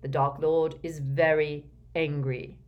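A woman voicing a cold, controlled, calculating man in an audiobook, speaking flatly, slowly and quietly. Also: low voice, phone-quality sound. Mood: quiet, deliberate. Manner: flat, slow, quiet, measured.

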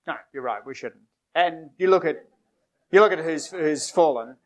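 A man, a politician and author, answering audience questions at a live book discussion in a measured way, with frequent fillers and restarts. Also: on the high side. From Australia. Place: event hall with audience.

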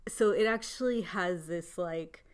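A woman who grew up in Southern California, a podcast guest telling her life story in an earnest, reflective way with frequent small pauses. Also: on the low side, clear, resonant tone. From Southern California, born in India. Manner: earnest, thoughtful, often pauses with "like" and soft laughs. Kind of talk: reflective personal storytelling in conversation.

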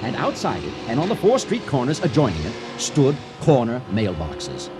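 An actor playing an earnest storyteller, narrating a radio drama in a dramatic, mock-serious manner. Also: male voice, mid-range voice, huge pitch swings, moderate pace, music in the background. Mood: mock-solemn.

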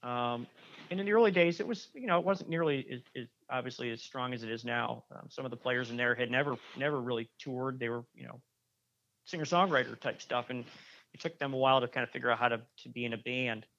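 Male musician guest, mid pitch, chatty and rambling, dull lo-fi recording. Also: fast, creaky, fairly bright tone. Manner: rambling, many restarts and fillers. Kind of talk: casual interview chat.

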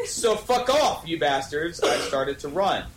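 A man co-hosting a podcast, reading fan fiction aloud in a mock-dramatic way and exclaiming the dialogue. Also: on the high side. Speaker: podcast co-host. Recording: roomy sound with faint noise.

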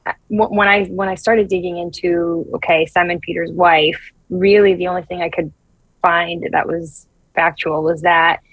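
A woman from Brazil, in her thirties, answering interview questions as an actress in a thoughtful, halting way with many restarts. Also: fairly low pitch, dry recording, poor audio. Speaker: actress guest.

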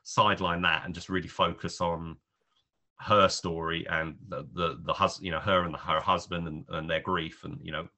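Deep, bright-voiced man, rambling. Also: level tone, moderate pace. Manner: rambling, hesitant, thinking aloud with trailing clauses. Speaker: podcast film reviewer. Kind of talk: casual spoken film review.

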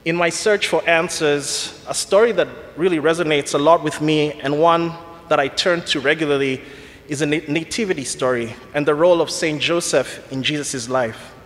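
A man in his forties with a Kenyan accent, giving an earnest, measured reflection to a church congregation. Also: on the high side, fairly bright tone. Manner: earnest, measured, slightly self-deprecating. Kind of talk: prepared church talk. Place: church sanctuary. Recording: long church reverb.

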